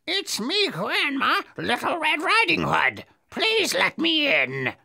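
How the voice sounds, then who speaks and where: A woman voicing a sly storybook wolf who poses as a sweet girl or granny, in a coaxing, sugary falsetto with a raspy edge. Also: wildly swooping pitch, slow pace, bright, forceful tone, heavily acted. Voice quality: shrill falsetto over a raspy voice. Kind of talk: children's storybook audio acting.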